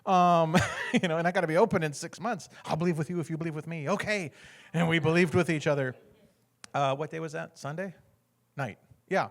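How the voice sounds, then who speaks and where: Middle-aged American man, animated testimony storyteller, fairly high voice, acts out every character. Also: a little fast, creaky. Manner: acts out other people's lines, often laughing. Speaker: guest speaker sharing a testimony.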